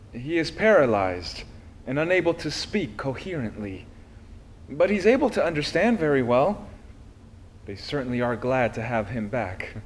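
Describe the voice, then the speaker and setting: A man reading a personal letter aloud expressively, with deliberate phrasing and pauses. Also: on the high side. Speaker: reader of a historical letter.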